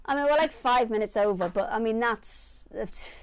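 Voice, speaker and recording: A chatty woman hosts a live sewing stream with a high, bright voice. The sound is close and dry, with little room around it. She keeps the talk light and laughs easily. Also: normal speed, no echo. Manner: chatty, laughs often, warm-hearted teasing. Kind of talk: casual chat, live stream.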